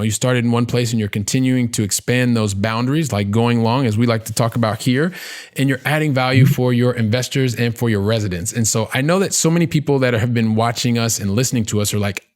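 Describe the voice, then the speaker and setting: A man hosting a podcast in a conversational interview style, with wordy, halting phrasing and catchphrases. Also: medium pitch. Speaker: podcast host.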